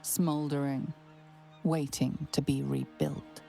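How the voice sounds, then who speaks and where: A woman playing a grave, ominous fantasy game narrator who tells of fate in a creaky, portentous voice. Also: low voice, flat delivery, warm tone, heavily acted. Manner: slow, portentous, second-person storytelling. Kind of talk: scripted dramatic game narration. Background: music.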